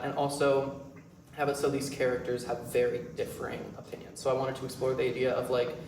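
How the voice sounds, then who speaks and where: An eighteen-year-old man talking casually as a playwright and director at a question session in a performance space. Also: mid-range voice. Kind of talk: casual post-show talk. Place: indoor performance space, recorded from afar. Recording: echoey room, distant mic, faint noise.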